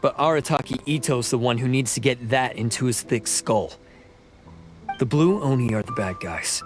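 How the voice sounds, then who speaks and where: A man's voice: medium pitch, lightly acted. An actor playing a proud, scornful male oni outcast who lectures coldly, in a video game. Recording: game audio with loud music.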